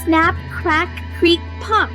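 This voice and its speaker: A woman narrating a children's story as a read-aloud, with dramatic, deliberate emphasis. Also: very high voice, fairly even intonation, pushed and bright, faint music behind. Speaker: storybook reader.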